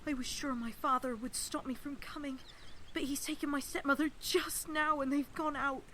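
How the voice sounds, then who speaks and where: A woman's voice: high voice, fairly even intonation, normal speed, fairly bright tone, slight background noise. An actress playing an eager, nervous young woman in an audio drama, speaking in a breathless, gushing, urgent way. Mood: breathless joy. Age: young adult.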